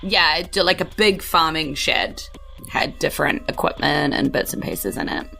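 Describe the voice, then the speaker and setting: Female game master, New Zealand accent, lower register, gravelly edge, loose conversational narration. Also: sing-song, normal speed, bright, forceful tone, soft background music. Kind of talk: casual improvised tabletop game narration.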